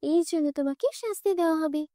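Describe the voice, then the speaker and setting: A woman's voice: high voice, fast talker, warm tone, no room sound, studio quality, lightly acted. A woman voicing a righteous, solemn fairy in a cartoon fairy tale. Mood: stern. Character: righteous female fairy, kindly to good, stern with wrongdoers.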